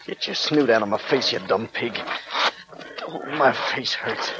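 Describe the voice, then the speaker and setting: A man's voice: medium pitch, a little slow, lightly acted. A man with an American accent playing an anguished, injured, vicious escaped convict in a 1940s radio crime drama. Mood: bitter muttering. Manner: snarling, menacing. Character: desperate, vicious escaped male convict on the run. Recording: noisy old 1940s radio recording.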